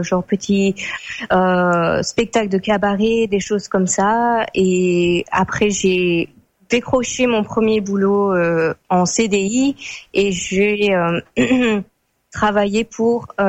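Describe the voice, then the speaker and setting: A young woman from France chats as a guest in an easy, unhurried way. She pauses often and fills the gaps while she thinks, and her voice sits at a middle pitch. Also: highs cut off. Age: young adult. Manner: relaxed, many hesitations. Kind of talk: casual conversation.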